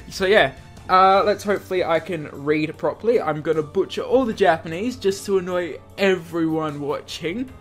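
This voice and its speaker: A man's voice: high voice, lively intonation, normal speed, a bit bright, faint music behind. A man hosting a let's play, informally commenting and reading a visual novel aloud. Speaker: gaming video host.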